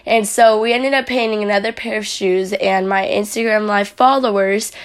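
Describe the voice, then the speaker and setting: Raspy-voiced young woman, chatty vlog recap. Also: medium pitch, fairly even intonation, moderate pace. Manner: rambling, chatty, many fillers. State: hoarse from yelling while coaching. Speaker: vlogger and athlete.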